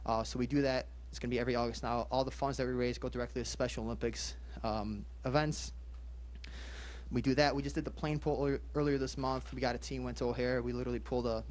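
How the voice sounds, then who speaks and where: A man's voice: mid-range voice, darkish tone, slight background noise, rough recording. A young man whose first language is Polish, a police officer introducing himself at a community meeting in an earnest, plain-spoken way with many fillers.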